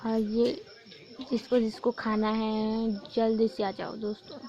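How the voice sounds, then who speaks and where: A young woman from Chhattisgarh, hoarse from a sore throat, chatting casually to her viewers in a home vlog. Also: on the high side, little pitch movement, measured pace, mellow, dull audio. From Chhattisgarh, India. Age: young adult. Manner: chatty, keeps addressing viewers as friends. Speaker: vlogger. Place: village home during a festival.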